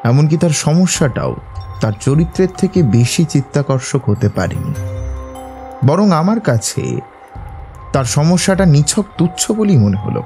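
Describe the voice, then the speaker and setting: An actor playing a confident, analytical detective in an audio drama, speaking in a measured, instructive way. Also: male voice, on the high side, sing-song, warm tone, soft background music. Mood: musing, instructive. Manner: measured, authoritative, didactic.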